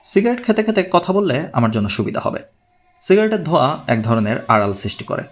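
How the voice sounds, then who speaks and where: A man voicing a polite, self-assured male professor in an audiobook, measured and orderly in his speech. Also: a little high, sing-song, soft background music. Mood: composed, polite. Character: formal, self-assured male mathematics professor seeking a consultation.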